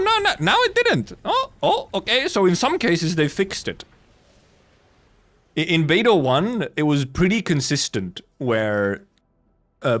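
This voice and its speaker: A man's voice: fairly high pitch, a bit bright. A man doing casual live game commentary as a streamer, rambling and correcting himself as he goes. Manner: rambling, loosely self-correcting, casual swearing.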